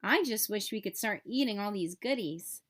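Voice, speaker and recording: A woman's voice: mid-range voice, lively intonation, normal speed, fairly bright tone. A woman voicing a friendly, easygoing young bear schoolfriend in a storybook, childlike and good-natured. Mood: impatient, eager.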